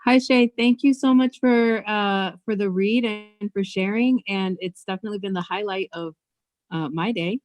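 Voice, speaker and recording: A woman's voice: medium pitch, normal speed, a little mellow, no room sound. A woman in the audience asking the author a question on a video call, hesitant and full of fillers.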